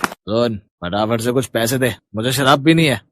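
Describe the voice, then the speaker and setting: A man's voice: mid-range voice, moderate pace, noisy background, natural voice. A man playing a bullying alcoholic husband and father in a melodramatic devotional story, coarse and threatening as he barks demands. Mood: gruff demanding.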